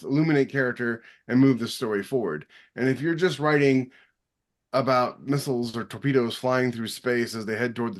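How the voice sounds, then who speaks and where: A man with a medium-pitched voice speaks as a podcast co-host. He is explanatory and opinionated in a casual panel conversation.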